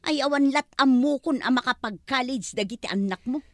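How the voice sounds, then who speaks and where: Woman acting a high-voiced, headstrong young woman. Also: fairly quick, no room sound, studio quality. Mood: earnest, pleading. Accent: Ilocano. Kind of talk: Ilocano comedy-drama acting.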